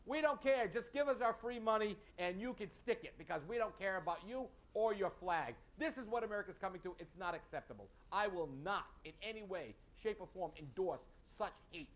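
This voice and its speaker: A man's voice: high-pitched, animated, a little fast, hardly any pauses. A man co-hosting a local political commentary show, indignant and emphatic, with uneven pacing. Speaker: co-host.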